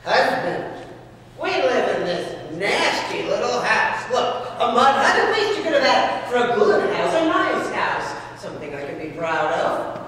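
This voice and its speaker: A storyteller voicing the fisherman's greedy wife, who scolds her husband loudly and demands a bigger house. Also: very animated, a little slow. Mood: scornful. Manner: shrill, loud, demanding. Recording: echoey hall from far off.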